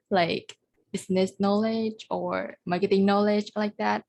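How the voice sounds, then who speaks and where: Young woman student, gravelly edge, earnest interview answers. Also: medium pitch, a little mellow. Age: young adult. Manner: earnest, practiced, listing points. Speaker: university student. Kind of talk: mock job interview answers.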